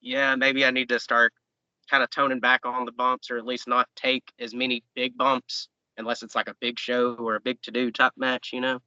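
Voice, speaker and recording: A man's voice: mid-range voice, flat delivery, moderate pace, pushed and bright, clean tone, no room sound, highs cut off. A man, a wrestler chatting easygoing in an interview, rambling with fillers. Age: 20s. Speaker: professional wrestler guest. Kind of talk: casual interview chat.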